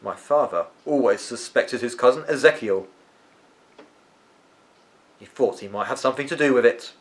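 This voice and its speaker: A man's voice: mid-range voice, normal speed, close to an everyday voice, poor audio. A man voicing a weary, tetchy but kindly eccentric uncle from a storybook, musing and emphatic in his delivery. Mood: musing, wistful. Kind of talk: storybook character voice.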